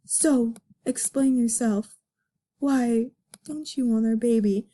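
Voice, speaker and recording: Casting: woman as grown male fox, wounded and accusing, unhurried delivery, melodramatic amateur comic reading. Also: on the high side, mellow. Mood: stern. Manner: melodramatic, reproachful.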